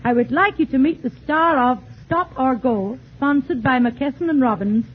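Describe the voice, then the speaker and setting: A woman's voice: high voice, moderate pace, narrow, tinny audio. A woman radio announcer reading a polished, formal scripted introduction. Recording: thin 1940s radio broadcast with light hiss.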